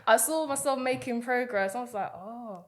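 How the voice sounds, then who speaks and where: A woman's voice: on the high side, normal speed, a crowd in the background. A woman in her twenties, originally from Guyana, chatting as a podcast guest, candid and a little shy, often breaking into giggles. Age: 20s. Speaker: guest, fitness client. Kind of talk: casual interview chat.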